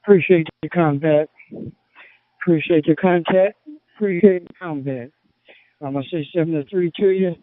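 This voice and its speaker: A man's voice: a little high, normal speed, no echo. A man from the United States on amateur radio, giving call signs and formulaic, repeated sign-offs over a radio link. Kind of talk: ham radio contact, clipped sign-offs. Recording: dry, tinny phone-like radio transmission.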